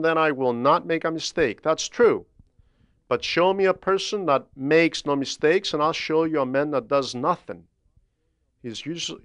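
A man reading a religious homily aloud in a deliberate, emphatic, didactic manner, with measured pauses between phrases. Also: fairly high pitch, fairly bright tone, clear, resonant tone, dry recording, clean studio sound.

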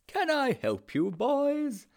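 A man's voice: very high-pitched, huge pitch swings, slow pace, gravelly, voice pushed up, full character voice. A man voicing a jovial, beaming old headmaster with a hearty, genial manner.

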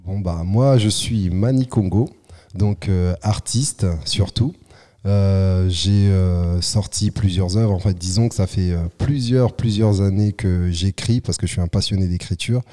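A man from Congo answers interview questions in a calm, considered manner, often pausing to find his words. His voice sits on the low side and has a very dark, mellow timbre. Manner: measured, reflective, pausing often. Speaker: guest artist. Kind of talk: casual podcast interview.

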